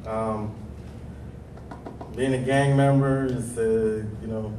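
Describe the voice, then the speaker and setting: A man in his thirties from Stockton, California with a deep voice, telling his life story on a panel, nervous and hesitant with many pauses. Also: fairly even intonation, mellow, echoey room, far from the mic, slight background noise, low-quality recording. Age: 30s. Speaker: panel speaker. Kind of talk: nervous personal testimony. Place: large hall.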